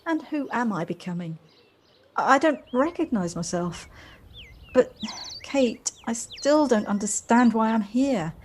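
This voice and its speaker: A woman's voice: on the high side, animated, measured pace, a little mellow. A woman playing a polite, effusive, wistful character in an amateur audio drama. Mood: troubled, searching. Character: Josie, wistful, polite, effusive woman rediscovering childlike freedom. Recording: faint noise, home-recorded on personal device.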